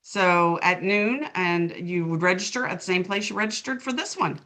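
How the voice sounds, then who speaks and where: A woman's voice: lowish voice, moderate pace, sharp tone. A woman co-hosting a panel webinar over a video call, chatty and tripping over names now and then. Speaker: webinar co-host, management consultant. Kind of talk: casual panel chat.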